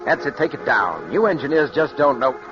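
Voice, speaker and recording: An actor playing a pompous, condescending radio producer in theatrical 1940s radio drama style. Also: male voice, mid-range voice, sing-song, a little fast, music in the background, close to an everyday voice. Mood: haughty. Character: pompous, condescending male radio producer, stricken when dying. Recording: muffled vintage radio recording.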